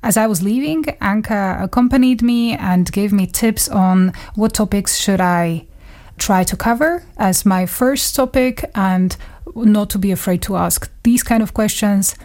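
A woman speaks as a guest in a radio interview. Her voice sits at a medium pitch. She phrases her memories thoughtfully, pausing as she searches for words. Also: darkish tone. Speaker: radio journalist, studio guest. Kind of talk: reminiscing in a studio interview.

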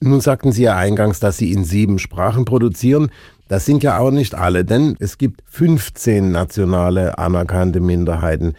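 Male radio host, low mellow voice, dry studio sound, measured and formal. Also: no echo. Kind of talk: radio interview. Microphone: studio microphone.

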